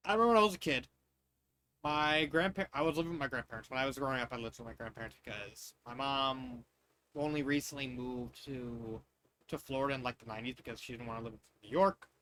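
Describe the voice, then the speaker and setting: A man in his thirties or forties from the United States reading fan fiction with casual, halting, rambling tangents where he repeats phrases. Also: medium pitch, bright, forceful tone, slight background noise, poor audio.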